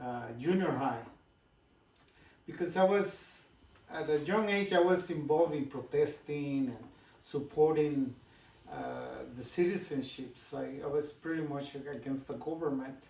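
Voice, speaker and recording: Older Guatemalan immigrant man, Spanish accent, light voice, pauses a lot, distant roomy recording. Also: fairly high pitch, gravelly, darkish tone. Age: 60s. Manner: halting, personal storytelling with pauses and restarts. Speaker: business owner guest. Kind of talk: casual interview.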